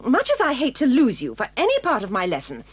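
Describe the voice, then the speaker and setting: An actress with a British accent playing a stern, crisp schoolmistress with dry sarcasm in an audio drama. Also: female voice, sits high, huge pitch swings, fast talker, no room sound, full character voice. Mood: dryly disapproving. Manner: crisp, commanding, sardonic.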